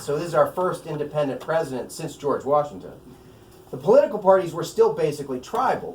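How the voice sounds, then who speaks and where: Male author at a live reading: slightly high-pitched, wry and explanatory, picked up from a distance in a roomy space. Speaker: author reading from own novel. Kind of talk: live book reading with asides. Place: room at a live bookstore event. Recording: roomy, distant mic, faint noise.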